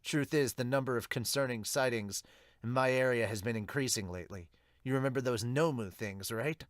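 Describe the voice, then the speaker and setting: Male superhero for amateur manga dub, read by a man: measured, serious, confiding, mid-range, dry sound. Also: mid-range voice, dry recording, lightly acted.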